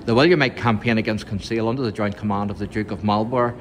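A man's voice: fairly low pitch, fairly even intonation, fast, slight background noise. A middle-aged man, filming a museum walkthrough on his phone and reading the display panels aloud with small stumbles and casual asides. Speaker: vlogger touring a museum. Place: indoor museum exhibit room.

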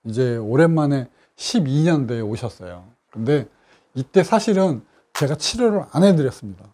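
Mellow-voiced man explaining a case. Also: medium pitch, expressive. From South Korea. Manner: measured, explanatory, with frequent fillers. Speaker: scar clinic doctor. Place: doctor's office with bookshelves.

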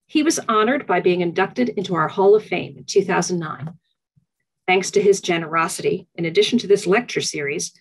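A middle-aged woman introduces a lecture over a video call, reading from prepared notes. Her voice has a slightly husky edge, and her delivery stays measured and formal throughout. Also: on the low side, rough recording.

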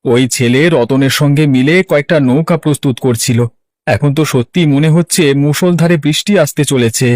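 A man's voice: fairly high pitch, moderate pace, a little mellow, dry recording, lightly acted. Man as a plaintive village farmer, dry sound. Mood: worried lament. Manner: plaintive, exclamatory. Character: anxious, lamenting poor village farmer. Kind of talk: Bengali cartoon voice acting.

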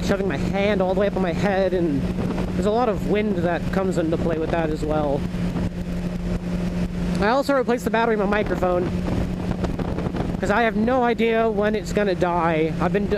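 A young man rambling casually to viewers, thinking aloud and correcting himself, on a helmet microphone as he rides a motorcycle. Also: sits high. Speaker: motovlogger. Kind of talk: casual vlog chat while riding. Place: inside a helmet, riding a motorcycle outdoors. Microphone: small microphone inside the helmet. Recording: loud engine, wind and road noise.

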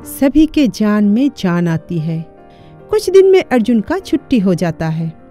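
A woman's voice: medium pitch, big pitch swings, unhurried, over music. A woman giving scripted narration for a cartoon moral story.

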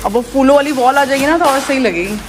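A woman's voice: high-pitched, fast talker. Lady vlogging in her salon, chatty, bits of english, really noisy background. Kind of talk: casual vlog chat, mixing English phrases. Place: indoor salon rooms being set up. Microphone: camera held at arm's length. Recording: loud room noise, handheld camera audio. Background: loud ambient room noise.

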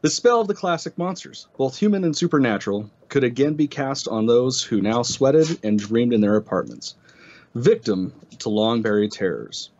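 A man's voice: medium pitch, expressive, moderate pace, darkish tone. A man reading a literary essay aloud with casual asides, stumbling over words now and then. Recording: slightly dull, band-limited audio.

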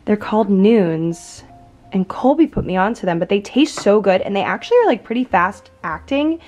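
A woman's voice: mid-range voice, animated, normal speed, darkish tone, faint music behind. A young adult woman talking casually and informally to her vlog audience in slangy everyday speech. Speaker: lifestyle vlogger. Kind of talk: casual chatty vlog talk. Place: indoors at home and in a house.

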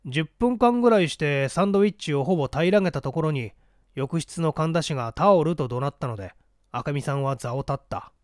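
A man's voice: fairly high pitch, expressive, fairly quick, a little mellow, dry recording, clean studio sound, natural voice. A man voicing a blunt, hot-headed newspaper reporter giving his account curtly in a mystery reading. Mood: recounting. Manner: curt, defensive. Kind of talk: dramatic storybook reading of a mystery.

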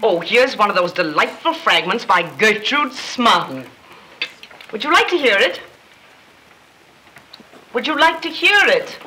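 An actress playing a stern, haughty middle-aged wife in a 1930s comedy film, projecting in a theatrical, declamatory way. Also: female voice, fairly high pitch, big pitch swings, unhurried, long pauses, bright, forceful tone, full character voice. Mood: irritated. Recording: noisy old 1930s film soundtrack.

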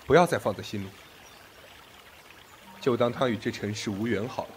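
An actor playing a proud, commanding sect master in a period audio drama, with imperious, formal speech. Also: male voice, fairly low pitch, moderate pace, a little hiss or hum, close to an everyday voice. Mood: gentle concern.

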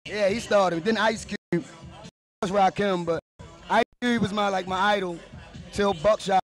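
A man with a raspy voice, interviewed at his own party in a dark club, talking in laid-back street slang. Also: high voice, fairly even intonation, unhurried. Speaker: rapper being interviewed. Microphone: handheld mic held by interviewer. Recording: music playing behind.

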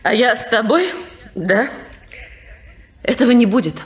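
An actress playing a bitter, reproachful young wife in a 1950s radio play. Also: female voice, fairly high pitch, animated, measured pace. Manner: anguished, reproachful. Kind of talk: 1950s radio theatre acting.

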